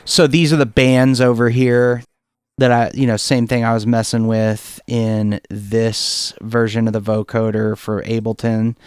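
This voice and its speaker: A man giving an informal, enthusiastic software tutorial, talking off the cuff and thinking aloud as he demonstrates. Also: mid-range voice, little pitch movement, measured pace, clear, resonant tone. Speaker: music production tutorial presenter.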